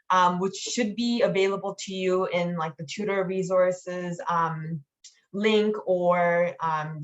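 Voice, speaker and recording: Woman in dull, lo-fi meeting audio. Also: lowish voice. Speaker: mentor leading an online training meeting. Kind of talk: informal instructional walkthrough with slides.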